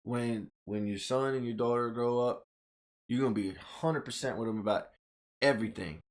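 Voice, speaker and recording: A man's voice: medium pitch, moderate pace. A man hosting a podcast in casual chat, teasing his guest, swearing freely and asking probing questions. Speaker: podcast host.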